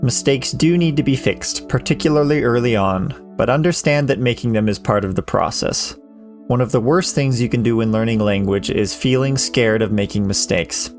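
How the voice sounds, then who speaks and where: A man in his thirties, a language teacher, reading a measured, earnest voice-over lesson. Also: medium pitch. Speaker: Japanese teacher. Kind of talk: scripted educational voice-over. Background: soft background music.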